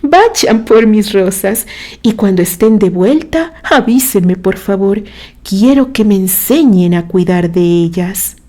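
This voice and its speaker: A woman narrator plays a young mother, the lady of the house in an audio story. She is eager and devoted, exclaiming her wishes with emphasis. Her tone is mellow and unhurried. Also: mid-range voice, animated. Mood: eager, joyful.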